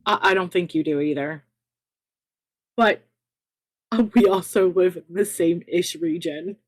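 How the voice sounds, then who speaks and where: A woman guest chatting eagerly about crochet during a relaxed livestream. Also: on the low side, measured pace, no echo, studio quality. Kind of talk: casual crochet chat on a livestream.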